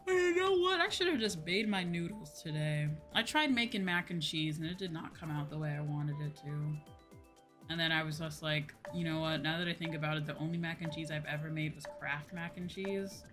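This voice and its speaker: A woman chatting casually as a livestream host while gaming, expressive and free with swearing. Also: sits low, a little fast. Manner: expressive, swears freely, mock-grumbling asides. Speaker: livestream host, virtual streamer. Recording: game music behind the voice. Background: video game music.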